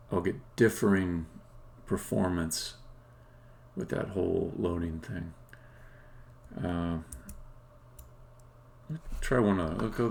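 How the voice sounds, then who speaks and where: A man live-streaming as a game developer, thinking aloud in a halting, muttering way as he codes. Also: low-pitched, creaky, darkish tone. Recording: faint background noise. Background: computer keyboard typing and clicking.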